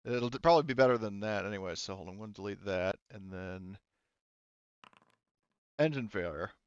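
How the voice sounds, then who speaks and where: A man's voice: lowish voice, brisk, smooth, no room sound, dull audio. A man acting as game master, casually thinking aloud as he narrates dice rolls and rulings.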